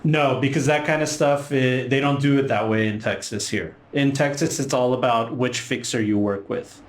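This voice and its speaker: A man's voice: mid-range voice, moderate pace. Man running a tabletop game, echoey room. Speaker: game master. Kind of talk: casual tabletop game narration.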